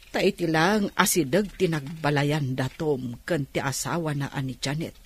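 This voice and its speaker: A lonely, fretful aunt in an Ilocano radio drama. Her Filipino Ilocano voice is husky and unhurried, with big swings of pitch and many exclamations. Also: full character voice. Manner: expressive, sighing, full of exclamations. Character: lonely, fretful older aunt living by herself.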